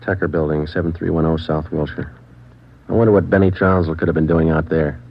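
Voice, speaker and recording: An actor playing a terse, deadpan police sergeant, narrating and questioning in a 1940s radio drama. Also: male voice, a bass voice, brisk, vocal fry. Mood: dry, matter-of-fact. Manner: deadpan, clipped. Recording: dull, noisy 1940s radio recording.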